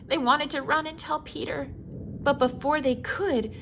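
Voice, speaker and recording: A woman giving a theatrical read-aloud of a children's storybook, expressive and full of dramatic pauses. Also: sits high, noisy background.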